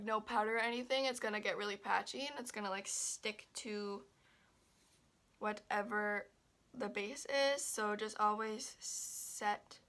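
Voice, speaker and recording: A young woman chatting through a makeup tutorial. She sounds stuffy and a little raspy, and she rambles with lots of fillers and restarts. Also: mid-range voice, pushed and bright. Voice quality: slightly raspy. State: stuffy and congested. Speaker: beauty vlogger.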